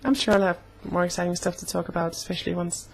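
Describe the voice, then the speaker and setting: A young woman talking casually in a personal vlog, slightly hoarse, with an earnest, halting way of speaking. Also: fairly low pitch, fairly even intonation, poor audio. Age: young adult. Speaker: vlogger.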